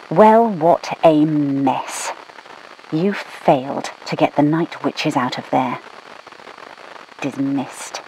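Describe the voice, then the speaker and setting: A woman voicing a brisk British spymaster who gives mission orders over the radio in a dry, commanding manner, with a British accent. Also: low-pitched, a little mellow, a little hiss or hum, full character voice, low-quality recording. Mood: scolding. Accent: British English. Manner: clipped, dry, commanding, scolding. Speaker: mission controller. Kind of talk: game voice lines.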